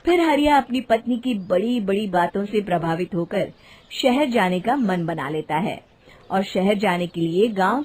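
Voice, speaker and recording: A woman narrating an animated moral story as a scripted storyteller. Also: mid-range voice.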